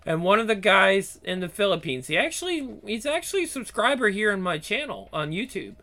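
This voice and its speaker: Guy in his thirties, high bright voice, blunt and sweary, rambles on. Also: very animated, fairly quick. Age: 30s. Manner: blunt, profane, rambling with many restarts. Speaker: YouTube channel host. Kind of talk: casual chat.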